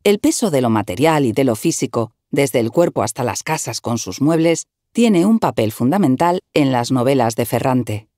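A woman narrating a written article in an even, measured reading style, recorded close to the mic. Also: rumbling low, no room sound.

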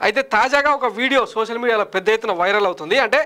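A man in his thirties delivers scripted political commentary from a studio, his voice pitched high above most men. He speaks quickly with a sarcastic, combative edge, piling up rhetorical questions. The sound is close and clean from a lapel mic. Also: pushed and bright, smooth. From Andhra Pradesh, India. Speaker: political news presenter. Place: studio in front of a large screen.

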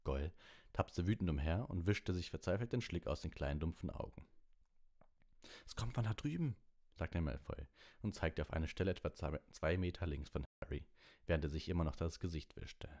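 A man's voice: rumbling low, brisk, a little mellow. A man narrating an audiobook in a storybook reading style.

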